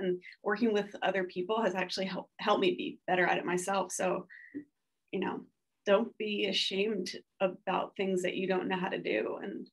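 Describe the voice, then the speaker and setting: A woman in her forties talking casually on a video call panel from a home studio, rambling with lots of filler words and restarts. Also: mid-range voice. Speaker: panelist artist. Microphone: video call webcam.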